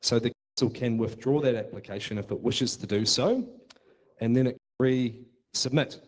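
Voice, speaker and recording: Male speaker, New Zealand accent, halting, appealing to council. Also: medium pitch, darkish tone, rough recording. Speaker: speaker at public meeting. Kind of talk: public meeting address.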